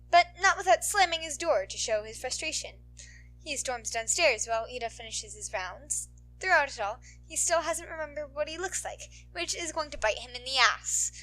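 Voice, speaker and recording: A woman reading fan fiction aloud as an amateur narrator, in an expressive storytelling style. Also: high-pitched, rough recording.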